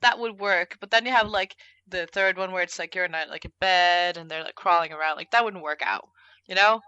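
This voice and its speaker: A woman's voice: on the low side, a little fast, pushed and bright, clear, resonant tone, no echo, lo-fi sound. A woman chatting casually and informally as a podcast co-host, speaking her opinions freely. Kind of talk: casual group chat.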